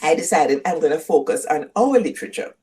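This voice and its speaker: An older woman from Jamaica with a Jamaican accent, speaking as a guest professor in a measured, storytelling way that leans on key words. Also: deep voice, expressive, warm tone, slightly rough. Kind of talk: reflective interview conversation.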